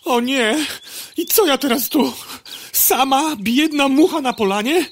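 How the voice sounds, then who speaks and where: A woman playing a brash, stubborn female city fly in a comic audio drama, chatty, excitable and pushy. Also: high-pitched, unhurried. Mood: lost, scheming.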